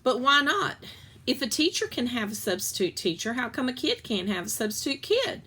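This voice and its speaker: A woman's voice: on the high side, sing-song, normal speed, pushed and bright, slightly rough, pitched above the performer's own voice, full character voice. A woman reads as an eight-year-old storybook girl, plucky and curious. She is stubborn in an argument and presses her questions earnestly. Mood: earnest, insistent.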